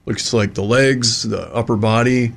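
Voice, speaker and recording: A man's voice: mid-range voice, steady tone, unhurried, gravelly. A man speaking as an investigator guest, telling anecdotes in a casual, conversational style.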